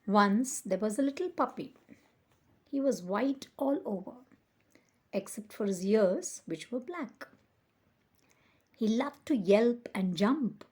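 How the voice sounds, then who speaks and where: A woman reading a children's picture book aloud as a storyteller, with expressive, deliberate emphasis. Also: mid-range voice, slightly rough.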